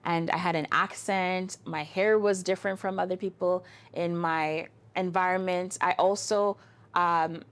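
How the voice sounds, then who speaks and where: A woman's voice: on the low side, fairly even intonation, measured pace, smooth. A woman from Canada, a guest in an interview, telling her story in an articulate, flowing way. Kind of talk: interview conversation.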